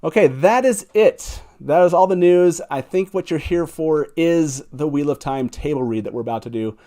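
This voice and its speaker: A man's voice: on the high side, normal speed, warm tone. A man hosting a live fan talk show in a casual, enthusiastic and emphatic way.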